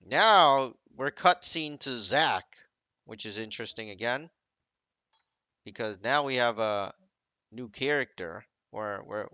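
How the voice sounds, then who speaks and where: Man, forceful bright voice, casual commentary, nitpicking, dry lo-fi recording. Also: mid-range voice, expressive, slow, dry recording. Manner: critical, nitpicking. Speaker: commentator on video game cutscenes. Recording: dull, lo-fi dry microphone recording.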